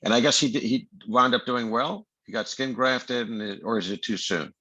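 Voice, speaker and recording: A man in his late seventies discussing a medical case informally, halting and repeating words, over muffled call audio. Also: medium pitch, sharp tone, no room sound. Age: late 70s. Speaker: senior surgeon giving case comments.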